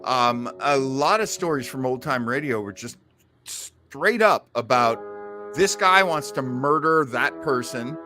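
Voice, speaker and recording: A man's voice: mid-range voice, expressive, measured pace, pushed and bright, soft background music. A man hosting a show, chatting casually. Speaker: show host. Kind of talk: casual talk-show chat.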